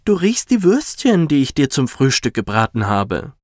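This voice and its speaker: A man's voice: medium pitch, huge pitch swings, normal speed, no room sound, clean studio sound. A man voicing a giant's brusque, scolding wife in a children's fairy tale.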